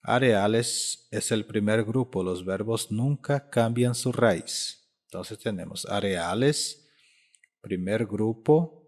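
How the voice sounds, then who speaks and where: A man in his thirties teaching a language lesson, saying each phrase slowly and repeating it several times. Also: on the low side. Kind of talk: slow spoken language lesson.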